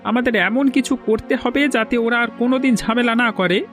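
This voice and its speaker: A man's voice: very high voice, normal speed, a bit bright, faint music behind. A man voicing an earnest, insistent younger village man in a cartoon fairy tale, with emphatic delivery. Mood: earnest, fed up.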